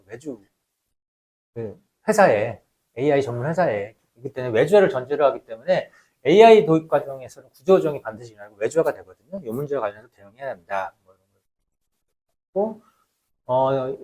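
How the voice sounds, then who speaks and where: A man's voice: medium pitch, a little mellow. A man giving an explanatory lecture as a researcher, emphatic and repeating his key points.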